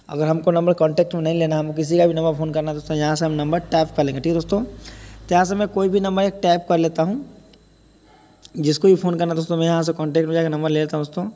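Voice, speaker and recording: A man talks quickly in a fairly high voice, walking viewers through a phone app step by step. He keeps addressing them as friends, in an informal, repetitive way. The recording rings like a hall. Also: mellow. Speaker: host of a tech tutorial channel. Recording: echoey hall-like room, faint noise.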